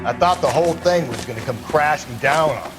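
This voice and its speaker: Cynical, gruff American cop voiced by a man. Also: a little high, fairly quick, over music, close to an everyday voice, rough recording. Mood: wry. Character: cynical, lazy, grumbling older male police detective partner. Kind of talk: dramatic video game acting.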